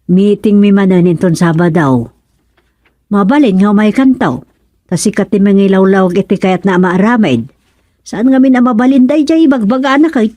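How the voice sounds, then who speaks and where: A woman's voice: medium pitch, moderate pace, mellow, dry recording, natural voice. A woman with an Ilocano accent acting a gossipy, insistent villager in a radio comedy-drama. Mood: explaining.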